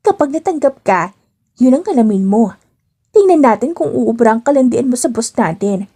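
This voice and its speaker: A woman's voice: fairly high pitch, expressive, normal speed, dark tone, breathy edge. A woman with a Filipino accent voicing a breezy young office worker, a chatty, slangy, teasing best friend in an audio drama.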